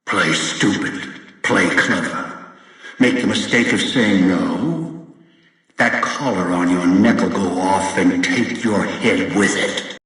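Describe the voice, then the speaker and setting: A man's voice: deep voice, slow pace, a bit bright, reverberant, in a large hall. An older man acting a bitter, commanding old schemer in a video game, giving terse, ominous orders over a radio speaker. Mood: cold, threatening.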